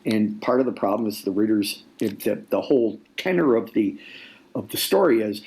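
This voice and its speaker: Gravelly man explaining things with deliberate emphasis. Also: on the low side, expressive, a little mellow, poor audio. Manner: emphatic, deliberate, repeats phrases for weight. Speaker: nonfiction author, podcast guest. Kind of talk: conversational interview, explaining craft.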